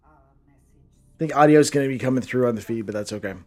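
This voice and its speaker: A man's voice: medium pitch, brisk, darkish tone, soft background music. A man co-hosting a casual movie commentary podcast, dropping dry jokey asides. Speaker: podcast co-host.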